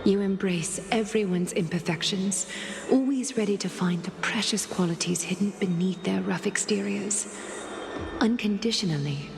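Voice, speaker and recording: An actress playing a dignified, composed woman leader in a game, speaking formally and tenderly in a solemn, measured way. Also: mid-range voice, creaky, close to an everyday voice. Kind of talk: scripted dramatic game voice acting, monologue. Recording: echoey hall reverb with music behind. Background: music.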